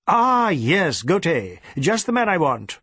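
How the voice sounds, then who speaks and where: Man acting a snappish, high-pitched police chief. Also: sing-song, slow pace, a bit bright, husky, no echo, heavily acted. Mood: irritated, impatient. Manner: snapping, barking, repeats himself impatiently. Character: irascible, cold, overbearing senior Indian police chief. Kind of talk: audiobook character acting.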